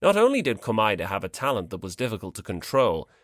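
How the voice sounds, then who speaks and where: An audiobook narrator reading a scripted story in an expressive, dramatic way. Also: mid-range voice, fast, no room sound, clean studio sound.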